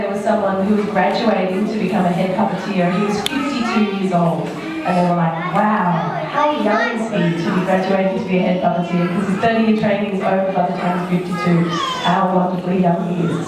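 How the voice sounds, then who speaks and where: A woman giving an informal explanatory talk to an audience as a guest speaker. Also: medium pitch. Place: echoey indoor venue before an audience. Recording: echoey hall, distant mic, noisy room.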